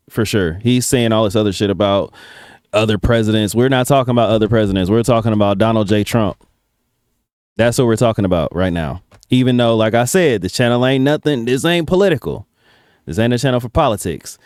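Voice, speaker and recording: A man's voice: medium pitch, darkish tone, clean tone, no room sound, studio quality. A man hosting a reaction video, commenting casually with slang and casual swearing.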